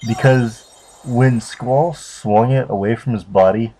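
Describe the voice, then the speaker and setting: A man's voice: medium pitch, takes it slowly, mellow, slight background noise, poor audio. A man giving casual, unscripted video game commentary, rambling and hesitant as if unsure of his facts. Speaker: let's play commentator. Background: game music and cutscene dialogue.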